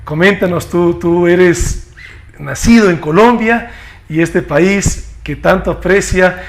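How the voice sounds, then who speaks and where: A man hosting an interview, greeting listeners and asking long, formal questions. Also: high voice. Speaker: host.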